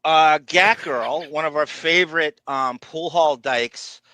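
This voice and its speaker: Male podcast co-host, bright and fairly high, loose chatty banter. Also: dry recording. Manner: chatty, digressive, quick to laugh and swear. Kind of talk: casual banter.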